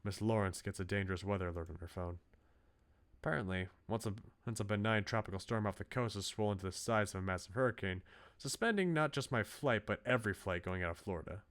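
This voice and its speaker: A man's voice: on the low side, fast talker, fairly bright tone. A man doing casual livestream commentary, reading a visual novel aloud with playful, dry asides. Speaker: game streamer. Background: game music.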